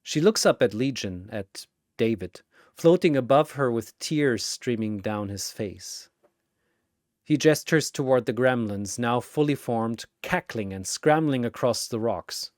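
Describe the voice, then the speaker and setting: A man tells an action story theatrically, in short suspenseful phrases. His delivery is slow with lively swings in pitch, and the recording is dry and close. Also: no room sound. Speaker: storyteller, narrator. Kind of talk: dramatic scripted action story narration.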